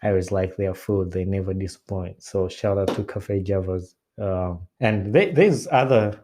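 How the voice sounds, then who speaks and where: A man from Uganda, hosting a podcast in a relaxed, rambling way with many "uh" fillers. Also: low-pitched, moderate pace, noisy background. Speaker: podcast host. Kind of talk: casual solo chat.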